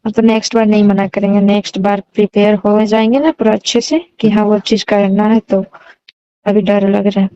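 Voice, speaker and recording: A woman's voice: mid-range voice, fairly even intonation, moderate pace, dark tone, clean tone. A young woman chatting casually and intimately on a phone call, coy, often answering with short hums. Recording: dull lo-fi phone call recording, roomy.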